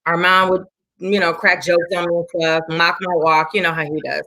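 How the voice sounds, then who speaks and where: A woman's voice: fairly low pitch, flat delivery, moderate pace, bright, forceful tone. A woman in her forties, a fitness instructor, chatting enthusiastically and repeating herself for emphasis. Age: 40s. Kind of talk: casual chat.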